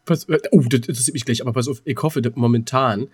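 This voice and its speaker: A man's voice: medium pitch, steady tone, fast talker, darkish tone, slightly rough, no room sound, studio quality. A middle-aged German man in casual podcast banter as co-host, teasing and repeating himself as he looks for words.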